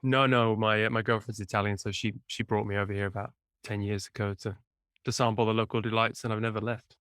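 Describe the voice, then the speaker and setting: English guy hosting a podcast, lowish voice, jokey and friendly, dry sound. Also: little pitch movement, fast talker, fairly bright tone, clear, resonant tone, no room sound. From England, near Cambridge. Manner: friendly, teasing, self-mocking. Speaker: podcast host. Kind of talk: casual interview chat.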